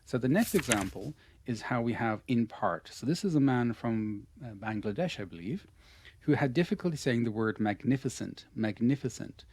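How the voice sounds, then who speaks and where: A man's voice: mid-range voice, expressive, normal speed, darkish tone. A man with an Irish accent teaching English pronunciation in an online lesson. He talks patiently and encouragingly, repeating his praise often. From Ireland.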